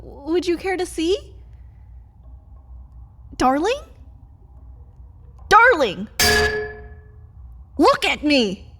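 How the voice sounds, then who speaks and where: A woman's voice: very high-pitched, a bit bright, slight background noise, full character voice. A woman playing a desperate, bitter vampire captive pleading with a lover in a dramatic ASMR roleplay. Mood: pleading, coaxing, then frustrated, demanding. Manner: dramatic, swinging between breathy whispers and pleading outbursts.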